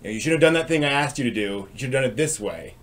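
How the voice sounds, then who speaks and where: A man hosting a live stream in a casual, chatty way, with a halting, hesitant delivery that tends to trail off. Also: mid-range voice, lively intonation.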